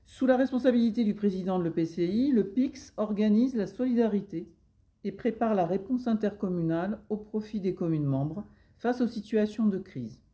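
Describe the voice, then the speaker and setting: A woman answers interview questions as a public service agent. Her voice sits at a medium pitch with a very dark, mellow colour. She explains things in formal, administrative wording. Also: moderate pace.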